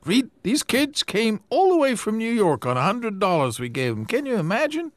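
A man voicing an amazed, fast-talking male New York newspaperman in a storybook reading. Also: huge pitch swings, dry recording. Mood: boastful. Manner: incredulous, squeaky high.

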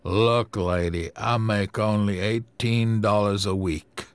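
A man's voice: fairly low pitch, fairly even intonation, slow, smooth, dry recording. A man voicing a mournful young newspaper reporter, glum and plain-spoken.

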